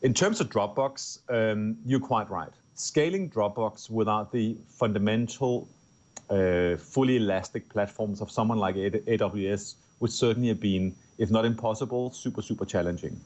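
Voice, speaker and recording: A man's voice: on the low side, moderate pace, darkish tone. A middle-aged man with a Danish accent, a tech executive answering interview questions earnestly, often restarting his phrases. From Denmark. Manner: earnest, with frequent restarts and repeated words. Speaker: tech company executive guest.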